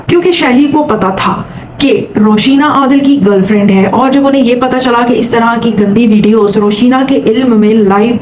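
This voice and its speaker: Woman, vlog host, higher-pitched voice, commentary and retelling, faint noise behind. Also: darkish tone, poor audio. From Saudi Arabia. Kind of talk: commentary retelling an interviewee's account.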